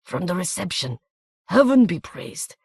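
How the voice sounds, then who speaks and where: A man's voice: sits high, very animated, unhurried, vocal fry, a little mellow, breathy edge, no room sound, voice pushed up, exaggerated voice. Man plays a talkative, nervous little man with a Hungarian accent; urgent whispers, dry booth. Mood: anxious whisper.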